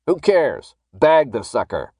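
A man's voice: on the low side, expressive, moderate pace, darkish tone, no echo, studio quality, natural voice. A man voicing a callous, sneering masked guard in an audiobook, barking threats and gloating. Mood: angry. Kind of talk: audiobook character acting.

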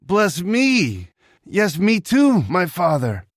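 A man's voice: on the low side, expressive, takes it slowly, fairly bright tone, dry recording, clean studio sound. A man voicing an anguished grown son in a dramatized scripture reading, crying out in pleading, rising tones.

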